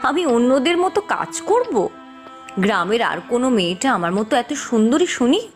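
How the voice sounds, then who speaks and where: Woman acting a spoiled vain young daughter, cartoon fairy tale, high, animated, whiny, dismissive, faint music behind. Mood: haughty, annoyed. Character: vain, lazy, haughty beautiful young village daughter.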